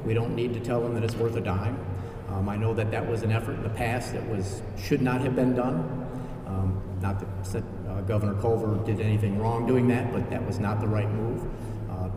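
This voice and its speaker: A man's voice: on the low side, steady tone, normal speed. A man runs a press event, introducing speakers and fielding questions in an upbeat, promotional way. His mid-range voice sounds distant and washes around a strongly reverberant hall, with noise behind it. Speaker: event organizer and emcee. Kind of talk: press conference remarks. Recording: very echoey hall, distant mic, background noise.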